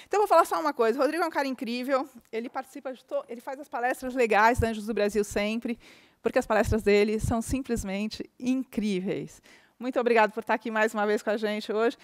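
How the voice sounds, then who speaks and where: Woman event host, higher voice, smooth and crisp, dry close sound, effusive introductions. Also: dry recording. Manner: warm, effusive, quick praise of the guest. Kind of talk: stage introduction. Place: conference hall.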